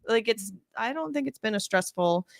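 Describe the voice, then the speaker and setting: Woman, animated intonation, lowish pitch, dry close sound, casual theatre director chat. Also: normal speed, creaky, no room sound.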